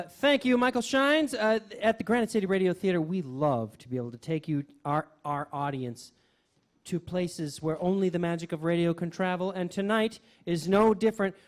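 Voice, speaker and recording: A man hosting a radio variety show with semi-scripted comedy, speaking haltingly and often repeating his words. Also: high voice.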